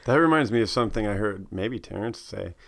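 A man's voice: lowish voice, expressive, a little fast, creaky, a bit bright. A man in a casual chat, laid-back and searching for his words, laughing easily.

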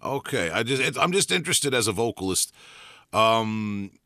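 Heldere Amerikaanse podcasthost. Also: male voice, fairly low pitch, very animated, moderate pace, sharp tone, no room sound. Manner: loose and friendly, says "man" often. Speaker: podcast host and vocalist. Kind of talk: casual interview.

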